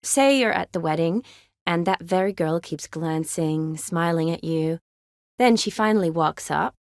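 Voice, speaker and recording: A woman's voice: lowish voice, a little slow, dry recording, studio quality. An actress voicing a spirited, teasing young woman medic in a fantasy video game, conversational and wry. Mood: teasing, friendly.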